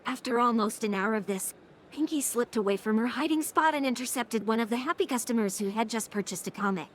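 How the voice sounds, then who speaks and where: A woman's voice: a little high, brisk. A synthetic text-to-speech woman's voice narrating a scripted story. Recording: faint background noise.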